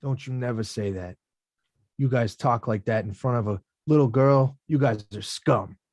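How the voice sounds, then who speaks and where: A man acts out a grown working-class boxer in a screenplay reading. He talks in blunt streetwise slang, plain and protective, at an ordinary male pitch. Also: normal speed, darkish tone, no echo, clean studio sound, lightly acted. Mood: angry, protective. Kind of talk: screenplay table read acting.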